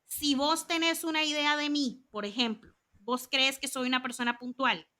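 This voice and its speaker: Costa Rican woman, 30s, interview guest; high and bright, chatty and animated. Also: normal speed. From Costa Rica. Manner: animated, chatty storytelling with lots of asides. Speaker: guest, communications consultant.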